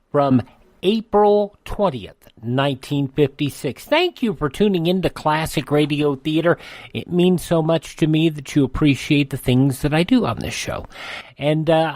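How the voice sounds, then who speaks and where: A man's voice: on the high side, no room sound. An American man hosting a radio show, talking casually and directly to listeners.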